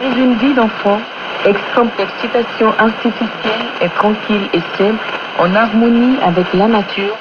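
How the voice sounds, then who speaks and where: A woman presenting a religious radio program, reading a scripted narration in a measured, reverent manner. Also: on the high side, fairly even intonation. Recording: noisy, muffled shortwave radio broadcast.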